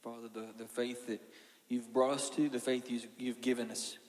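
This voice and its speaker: A man's voice: mid-range voice, little pitch movement, normal speed. A man saying a solemn, repetitive spoken prayer, heard at a distance in an echoey hall. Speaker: congregant leading closing prayer.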